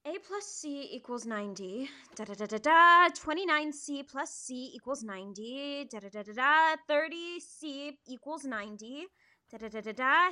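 A woman plays a brainy, timid schoolgirl in a comedy sketch. Her voice is high-pitched and extremely bright and forceful. She sounds insistent and exasperated. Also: heavily acted.

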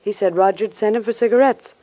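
A woman's voice: mid-range voice, fast, warm tone, close to an everyday voice, low-quality recording. Actress doing a fed-up guarded lady, short impatient answers, mid voice, vintage radio detective show. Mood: guarded, curt. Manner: curt, impatient. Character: exasperated, guarded young woman witness, curt and weary of questions. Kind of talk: 1950s radio detective drama acting.

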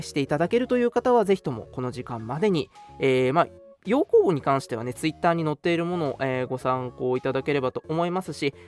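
Lively male radio host over music. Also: fairly high pitch. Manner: polite, chatty, frequent interjected particles. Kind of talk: casual scripted-segment radio announcements.

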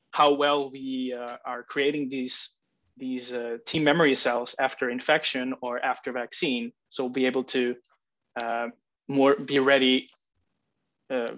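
A man's voice: mid-range voice, fairly bright tone, highs cut off. A man in his twenties from Iceland, a non-native English speaker, explaining science as a podcast guest with frequent hesitations. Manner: hesitant, many fillers and restarts, explains patiently. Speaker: guest, immunology researcher. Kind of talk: casual interview.

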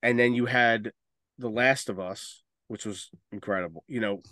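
A man's voice: on the low side, moderate pace, sharp tone, no room sound. A man co-hosting a podcast in casual, opinionated discussion, speaking emphatically and swearing freely. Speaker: podcast co-host.

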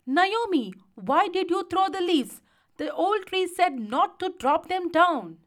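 A poor storybook woodcutter, performed by a woman, talks bluntly and with distrust. The delivery is unhurried but swings widely in pitch, as befits a man quick to anger. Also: sing-song, pushed and bright, full character voice. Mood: angry.

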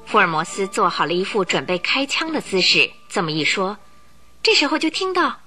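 A woman's voice: medium pitch, very animated, fairly quick, bright, forceful tone, soft background music. A woman narrating a radio drama in a vivid, dramatic storytelling manner.

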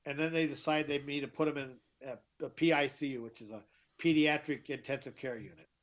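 A middle-aged man with a deeper voice, telling his story as an interview guest, emphatic and often repeating himself. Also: steady tone, a little fast. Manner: emphatic, repeats and restarts words. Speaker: guest, author. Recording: dull lo-fi sound.

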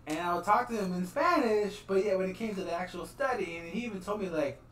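A young man from Texas and Iowa, chatting casually among friends, lively and quick to laugh and tease. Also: high-pitched. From Texas and Iowa, USA. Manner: lively, laughs often, teasing. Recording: roomy, distant mic, faint hiss.

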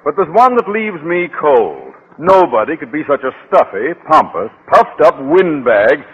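A pompous, blustery school principal. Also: male voice, fairly high pitch, very animated, normal speed, highs cut off. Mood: stuffy. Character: stuffy, pompous middle-aged male school principal. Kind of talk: 1940s radio comedy acting. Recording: noisy vintage radio broadcast.

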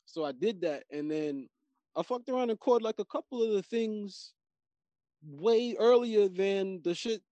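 A man in casual chat, animated and profane, riffing on his points with slang and repetition. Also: high voice, measured pace, dry recording, highs cut off.

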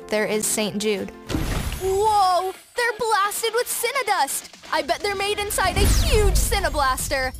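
A young woman reading scripted commercials as a voice actor. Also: high voice. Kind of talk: scripted commercial demo reads. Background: music.

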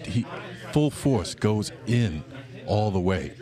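A very deep-voiced man hosts a chat at a crowded event, with people talking all around him. He speaks with enthusiasm and stumbles over repeated words as he begins his thoughts. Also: expressive, slow pace. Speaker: co-host. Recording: crowded room chatter.

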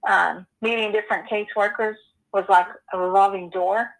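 A woman in her forties telling her personal story as an interview guest, earnest and halting, with many ums and you-knows. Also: medium pitch, steady tone, poor audio. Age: 40s.

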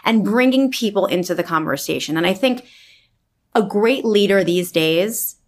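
A woman's voice: medium pitch, animated, moderate pace. Woman host, chatty and anecdotal. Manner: conversational, recounts anecdotes with fillers. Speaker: interview host. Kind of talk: interview conversation.

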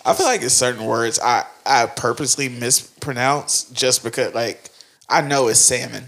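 A man's voice: medium pitch, normal speed, gravelly, a bit bright. A man co-hosting a podcast, joking and easygoing in casual banter.

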